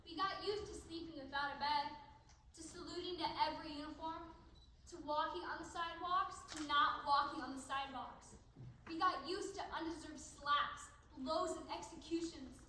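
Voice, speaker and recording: Woman as young prisoner reciting bleakly, high voice, echoing hall, distant noisy recording. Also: normal speed, sharp tone. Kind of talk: dramatic stage recitation.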